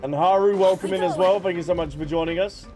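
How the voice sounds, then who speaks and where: A man's voice: high-pitched, fairly even intonation, quick pace, a little mellow, soft background music. A man livestreaming a game, chatting casually and playfully as he reads and answers viewers. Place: behind: game music.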